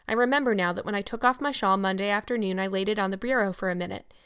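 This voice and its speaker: A woman reading an audiobook voices a stern, sharp-tongued older farm woman who talks in a brisk, scolding, no-nonsense way. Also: mid-range voice, clean tone, dry recording, clean studio sound, close to an everyday voice. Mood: bewildered.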